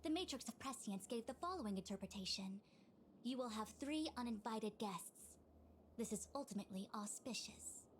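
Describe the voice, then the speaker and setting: Woman doing bossy formal game diviner lady, highish voice, precise, bit of noise. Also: animated, moderate pace, lightly acted. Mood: brisk, self-assured. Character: brisk, self-assured young female diviner official. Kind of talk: video game voice acting.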